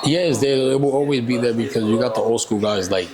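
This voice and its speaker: A man's voice: medium pitch, brisk, a crowd in the background. A man in his thirties, a native Spanish speaker from Puerto Rico, talking casually as a barber in an interview, rambling and often saying "you know". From Puerto Rican. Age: 30s.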